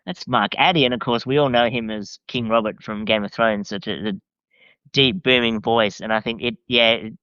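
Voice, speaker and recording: A man's voice: mid-range voice, fairly even intonation, normal speed, sharp tone, clear, resonant tone, no room sound. A man chatting casually as a podcast guest, informal and enthusiastic, on a slightly lo-fi recording. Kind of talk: casual chat.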